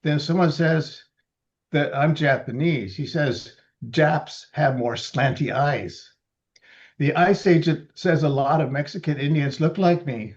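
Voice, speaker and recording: A man in his seventies from Los Angeles reads his own poems slowly and deliberately, with halting, chatty asides between them. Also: on the high side, lo-fi sound. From Los Angeles, USA. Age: 70s. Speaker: poet reading his libretto. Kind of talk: poetry reading with casual asides.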